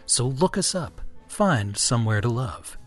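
A man reading a parody advertisement voiceover in a smooth, sultry pitch. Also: big pitch swings, unhurried, soft background music.

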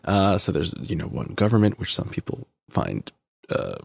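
A man's voice: sits low, big pitch swings, moderate pace, creaky, dry recording. A man hosting a podcast in casual interview chat, rambling and wry as he interrupts himself. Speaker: podcast host.

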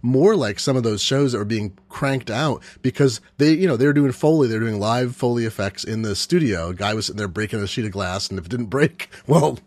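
A man's voice: mid-range voice, brisk, few pauses, darkish tone, dry recording. A man chatting casually as a panel host, explaining eagerly and repeating words for emphasis.

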